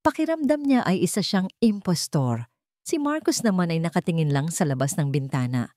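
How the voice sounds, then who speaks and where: A woman's voice: on the low side, sing-song, normal speed, mellow, no room sound, clean studio sound. A woman storyteller reading a scripted romance story in a dramatic narrating style.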